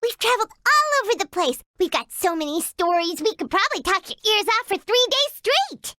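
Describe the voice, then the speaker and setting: Extremely high childlike creature, animated swoops, excitable, bubbly, boastful, video game sidekick. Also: female voice, moderate pace, no room sound, full character voice. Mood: excited, cheerful. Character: tiny, chirpy, excitable childlike companion creature.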